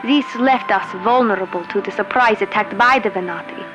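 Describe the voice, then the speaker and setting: An actress playing a grave space fleet leader in a sci-fi audio drama, speaking formally and with solemn, measured diction. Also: female voice, mid-range voice, natural voice, poor audio. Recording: voice set over clear music.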